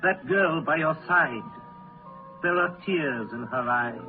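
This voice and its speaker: An actor playing a solemn, stern spirit guide in a radio drama, speaking in a grave, declamatory way. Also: male voice, fairly high pitch, takes it slowly. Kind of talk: 1930s radio drama acting. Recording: tinny 1930s radio broadcast, music behind.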